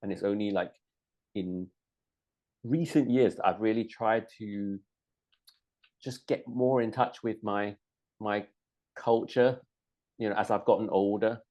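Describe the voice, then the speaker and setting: A middle-aged man, born in Hong Kong, chatting casually, hesitant with lots of ums and restarts and quick to laugh. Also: fairly low pitch, mellow. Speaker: co-host. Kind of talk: casual chat.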